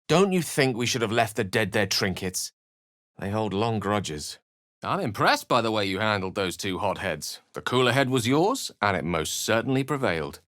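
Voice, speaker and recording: A learned, refined wizard from a fantasy video game. His voice is low and closely recorded with a dry sound. He speaks eloquently and with wry polish, measuring out his phrases like a theatrical storyteller. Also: fairly bright tone, no echo. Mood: conversational, earnest.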